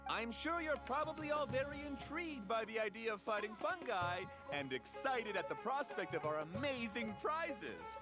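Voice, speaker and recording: Showy high-voiced scholar announcer. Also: male voice, very animated, normal speed, few pauses, music in the background. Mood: proud, welcoming. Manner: formal, grandiose, reassuring. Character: showy confident male scholar and tournament organiser. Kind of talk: video game character giving announcement speech.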